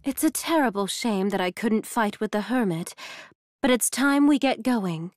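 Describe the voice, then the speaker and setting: A woman's voice: a little high, lively intonation, moderate pace, no echo, natural voice. A woman playing a haughty, confident young heiress fighter in a video game, speaking in a formal, commanding way. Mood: gracious.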